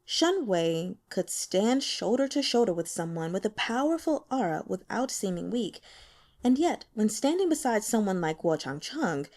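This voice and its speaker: Woman audiobook narrator, very lively intonation, expressive fiction reading. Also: on the high side. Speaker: audiobook reader.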